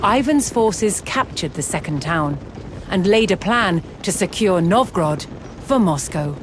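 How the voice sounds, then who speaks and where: A woman delivering scripted, documentary-style game narration in a measured, solemn storytelling manner. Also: lowish voice, lively intonation, noisy background. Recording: music bed behind the narration.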